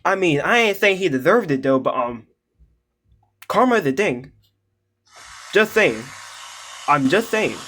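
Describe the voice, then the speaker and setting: A man's voice: on the high side, sing-song, unhurried, pauses often, bright, forceful tone, a little hiss or hum. A man giving casual, joking reaction commentary in slangy speech, full of exclamations. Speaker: reaction video host.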